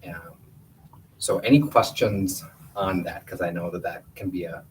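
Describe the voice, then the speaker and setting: A man's voice: low-pitched, unhurried. A man giving a plainspoken, conversational report at a school board meeting in a meeting room. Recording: distant room mic with faint hiss.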